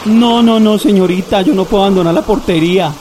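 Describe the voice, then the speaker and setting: A man's voice: high-pitched, brisk, darkish tone, lightly acted. A timid, stammering Colombian night doorman, acted by a man in a radio drama. He repeats his words, with faint noise in the background. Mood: nervous.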